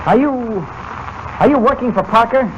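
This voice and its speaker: An actor playing a level-headed, skeptical newspaper reporter in a 1940s radio drama, brisk, plain-spoken and challenging. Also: male voice, very high voice. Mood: questioning, wary. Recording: noisy, dull old-time radio recording.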